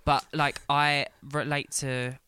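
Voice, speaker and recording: A man's voice: on the high side, flat delivery, takes it slowly, bright, forceful tone, clean tone. A man with a light, feminine-sounding voice, chatting camply as a podcast guest, with lots of fillers. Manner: chatty and camp, with frequent fillers and bursts of laughter. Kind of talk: casual chat. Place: restaurant.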